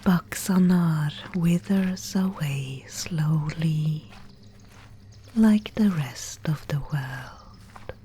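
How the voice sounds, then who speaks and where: A woman voicing a mysterious, solemn Spindlemaid guide in a whispered ASMR dark-fantasy roleplay, with breathy, incantatory, archaic speech. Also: low voice, a little hiss or hum. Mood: calm, ominous. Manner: slow, incantatory, archaic phrasing.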